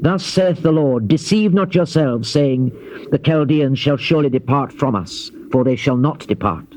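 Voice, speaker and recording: An actor playing a grave Old Testament prophet in a dramatized scripture reading, with solemn, declamatory and measured phrasing. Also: male voice, mid-range voice, a little mellow, lightly acted. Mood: stern, proclaiming. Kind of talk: dramatized scripture reading, classical stage delivery. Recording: dull lo-fi old cassette, faint hiss.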